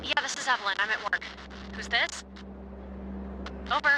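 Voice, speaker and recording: A woman's voice: a little high, moderate pace, noisy background, close to an everyday voice. An actress playing an earnest, businesslike female emergency dispatcher in a video game, talking in radio protocol. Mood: curt, businesslike. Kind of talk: naturalistic video game dialogue over walkie-talkie.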